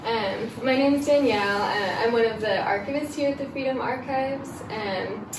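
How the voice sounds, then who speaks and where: A woman archivist explaining her work as an interview guest in a casual, somewhat tentative, halting way. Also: on the high side. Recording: roomy, distant mic with clear noise.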